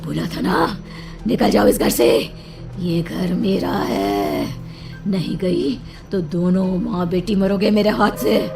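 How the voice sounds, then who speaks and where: A woman voicing a menacing, vengeful female ghost who threatens and commands in an animated horror story. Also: fairly low pitch, slow, gravelly, over music, full character voice. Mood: threatening.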